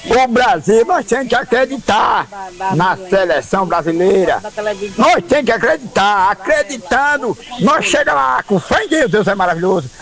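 A man calling into a radio show, hoarse from shouting and cheering excitedly for his team. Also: sits high, normal speed, a crowd in the background. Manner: excited, rowdy fan cheer. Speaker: caller.

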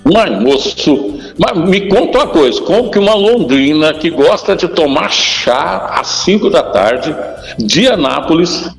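A man's voice: fairly high pitch, expressive, unhurried, creaky. A man hosting a live radio segment from a store, teasing his guest with playful questions. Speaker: radio host. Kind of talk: lively live radio interview. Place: store, on location. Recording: echoey radio broadcast with music.

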